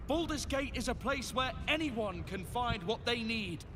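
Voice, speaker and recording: Resolute fantasy commander, raised pitch, slow oratory, solemn, stirring, noisy ambience, video game. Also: male voice, expressive. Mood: solemn, rousing. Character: resolute male city commander rallying weary fighters. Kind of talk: video game cutscene acting, rousing speech.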